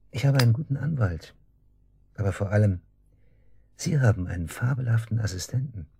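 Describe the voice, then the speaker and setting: A man's voice: mid-range voice, creaky, warm tone, breathy edge, no room sound, studio quality. An actor in a German radio drama playing a smug, taunting man just released from custody, speaking with mocking casualness. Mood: smug, triumphant.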